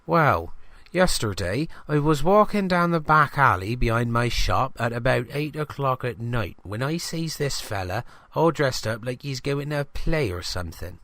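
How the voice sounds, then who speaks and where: A man's voice: medium pitch, lively intonation, moderate pace, hardly any pauses, fairly bright tone, no echo. A man voicing an older, paranoid village greengrocer in a comic audio drama, chatty and emphatic, with a rustic dialect. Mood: bewildered.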